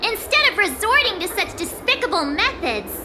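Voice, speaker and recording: Very high, plucky young heroine. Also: female voice, big pitch swings, moderate pace, over loud music. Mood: defiant. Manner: spirited, cheeky, defiant. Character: plucky, confident young female rookie sword hero. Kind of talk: animated video game voice acting.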